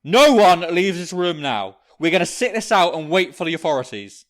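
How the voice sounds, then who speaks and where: A man's voice: sits high, sing-song, moderate pace. A man playing a cynical, domineering character who takes control in a crisis, blunt and commanding, in an audio drama. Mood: furious.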